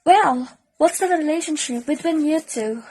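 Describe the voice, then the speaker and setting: Woman playing a high-voiced, timid young female patient. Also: moderate pace, darkish tone, natural voice. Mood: polite, hesitant. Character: timid, lovelorn young female hospital patient named Evie. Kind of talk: amateur radio play acting.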